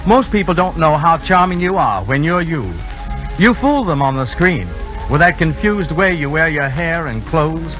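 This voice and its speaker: A man's voice: a little high, animated, normal speed, fairly bright tone, lo-fi sound, soft background music. A man hosting a 1930s radio theater broadcast in a scripted announcer's delivery.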